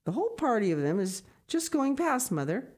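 A brisk village mother read by a woman rushes to pass on news in a raised, hurried voice. The tone is mellow, as in a storybook telling. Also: a little high, sing-song, pitched above the performer's own voice.

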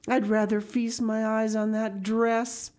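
Woman as a high-pitched, gushing excitable young girl. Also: takes it slowly. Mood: earnest, elated. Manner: gushing, breathless exclamations, dramatic. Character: excitable, rapturous, earnest young orphan girl.

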